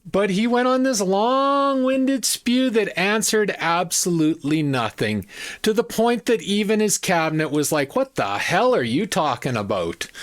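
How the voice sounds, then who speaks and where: A host giving opinionated political commentary in an emphatic, repetitive and scornful manner. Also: medium pitch.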